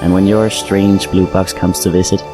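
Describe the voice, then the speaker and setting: An actor playing a solemn, resolute fungal alien in an audio drama, speaking in a measured, halting, heartfelt way. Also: male voice, low voice, flat delivery, music in the background, lightly acted. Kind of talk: full-cast audio drama acting.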